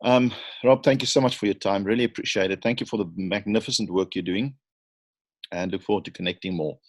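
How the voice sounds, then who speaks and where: A man's voice: on the low side, fairly even intonation, brisk. A man hosting a conversational interview, asking questions and thanking the guest with open praise. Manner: affirming, thanks the guest warmly. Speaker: interview host.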